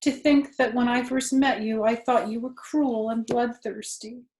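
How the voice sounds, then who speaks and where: A woman's voice: a little high, steady tone, a little slow, a little mellow, reverberant, pitched above the performer's own voice, lightly acted, poor audio. A woman voicing an earnest, emotional young male pig in a children's storybook, with a pleading, wide-eyed manner. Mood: moved, humble. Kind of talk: children's storybook character reading.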